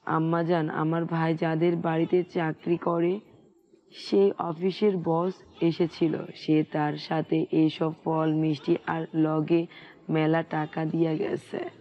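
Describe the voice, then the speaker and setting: Woman doing a slow, folksy Bangladeshi village woman. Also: low-pitched, little pitch movement. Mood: plainly admiring. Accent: rural Bangladeshi. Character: simple, admiring village woman in domestic talk. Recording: slightly dull, lo-fi sound.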